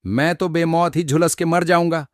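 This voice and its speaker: A man playing a foolish, self-important and easily panicked donkey in a children's animated story, with a boastful, dramatic manner. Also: on the high side, moderate pace, music in the background, natural voice. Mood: smug, musing.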